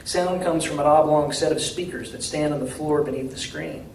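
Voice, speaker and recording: A man with a slightly high voice reads his fiction aloud to a room. His delivery is measured and deliberate. He sounds some way from the microphone, with strong room echo and faint noise. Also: a little mellow, distant mic. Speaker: author reading from his novel. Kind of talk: live literary reading, dramatic first-person narration. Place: indoor reading at a university.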